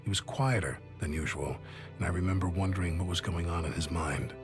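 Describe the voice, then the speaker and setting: A somber soldier narrates his war memories. The voice is very deep and measured, in the reflective style of a game story, over a clear musical score. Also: music in the background. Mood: somber recollection.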